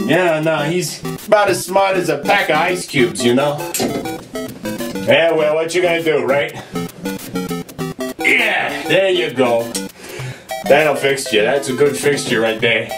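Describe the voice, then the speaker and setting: An echoey, distant recording of a high-voiced, gruff-tempered plumber in a comedy monologue. He is a put-upon older brother who rambles crudely to his customer and keeps tagging phrases with "right?". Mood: fond, resigned. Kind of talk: comic character monologue, chatting to a customer. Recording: roomy echo, distant from mic.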